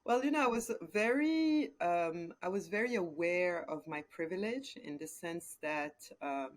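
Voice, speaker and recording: A woman in her forties from West Africa, a guest in an interview, speaking thoughtfully with frequent pauses and fillers. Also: on the low side, lively intonation. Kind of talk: casual conversational interview.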